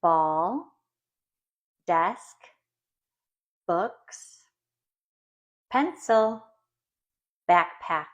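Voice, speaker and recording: Woman doing kids read aloud, really slow n deliberate, voice a bit on the low side. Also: animated, dull audio. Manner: slow, deliberate phrasing for young children. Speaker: storybook reader. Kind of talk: expressive children's read-aloud.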